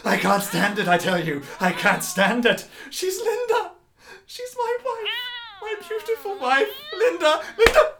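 A man's voice: very high voice, big pitch swings, a little slow, crowd noise, full character voice. A man playing a desperate, guilt-ridden husband near breaking in a radio horror drama, pleading melodramatically. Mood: hysterical, tearful. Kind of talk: old-time radio horror acting.

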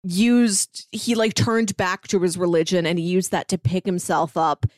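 A woman's voice: lowish voice, fairly even intonation, measured pace, darkish tone, dry recording. Female podcast co-host, dry close sound. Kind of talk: casual book discussion.

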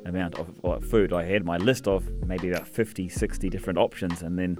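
A very low-voiced man with a New Zealand accent talks casually as a fitness trainer guest on a radio show. He keeps it relaxed, laughs easily and fills pauses with ums. Faint music sits underneath. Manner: relaxed, chatty, laughs easily, many fillers.

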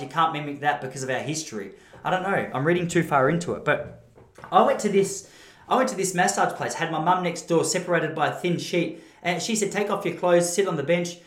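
An Australian man hosting a podcast, telling comic stories in a rambling, self-interrupting monologue. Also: fairly high pitch. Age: adult, married. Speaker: podcast host. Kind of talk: comic storytelling monologue to camera. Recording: roomy, echoing room sound.

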